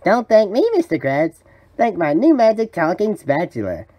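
An eager, boyish cartoon sponge, wildly animated. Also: male voice, sits high, normal speed. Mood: excited, grateful. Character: cheerful, gullible young male sea sponge fry cook. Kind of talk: cartoon fan voice acting.

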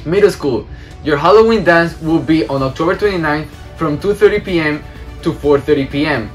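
A man's voice: fairly high pitch, normal speed, faint music behind. A teenage boy, a student news anchor, reading school announcements with small slips. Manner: reads with small slips and restarts.

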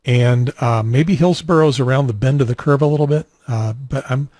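Older male net host, mid-pitched, conversational technical explainer, dry close recording. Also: moderate pace, darkish tone, no echo. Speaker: amateur radio net host. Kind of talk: conversational technical explanation over ham radio. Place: small home radio room, indoors. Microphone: handheld radio mic held at mouth, boom mic nearby.

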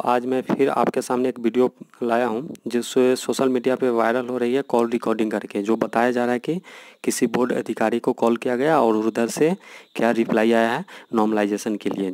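A man's voice: medium pitch, darkish tone, no echo. Indian man explaining in a dry recording. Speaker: YouTube channel host. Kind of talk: informal explainer to viewers.

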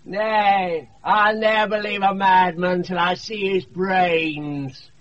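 A man's voice: sits high, fairly even intonation, slow, fairly bright tone. An actor, a man, playing a wry court fool in a radio Shakespeare play, teasing with mock sympathy. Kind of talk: 1980s British radio Shakespeare acting. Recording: muffled off-air radio recording.

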